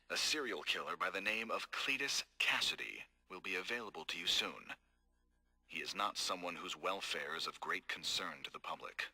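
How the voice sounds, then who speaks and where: A man voicing a cold, scheming corporate executive in game audio logs, calm, measured and formal. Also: sits low, husky, exaggerated voice. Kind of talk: recorded game audio log.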